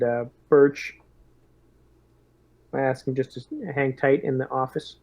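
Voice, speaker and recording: Male tabletop player, even and little-varied delivery, hesitant phrasing, muffled sound. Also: medium pitch, dry recording. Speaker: player in a tabletop roleplaying game. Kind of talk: casual in-character and table talk during a tabletop game.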